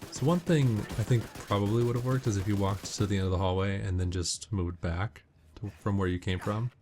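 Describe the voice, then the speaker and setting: A man's voice: lowish voice, brisk, a little mellow, slight background noise. A man giving casual, matter-of-fact walkthrough commentary on a video game, explaining tips as he plays. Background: video game audio with music and gunfire.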